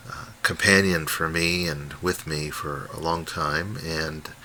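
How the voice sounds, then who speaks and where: Very deep, bright-voiced man, casual. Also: fairly even intonation, takes it slowly. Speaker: radio hobbyist presenting a comparison. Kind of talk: casual unscripted demonstration talk. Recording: faint background noise.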